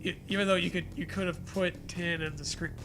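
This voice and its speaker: A man with a high, bright voice reads a story aloud as a comedy co-host. He keeps stepping out of the text for casual, profane riffs. Light music plays behind him. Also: steady tone, fairly quick. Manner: jokey, sweary, breaks off to riff.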